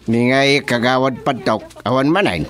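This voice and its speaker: A man's voice: mid-range voice, moderate pace, a crowd in the background, natural voice. A man with an Ilocano accent playing a bossy village chairman in a radio drama, speaking with authority. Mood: firm. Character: bossy middle-aged male village chairman.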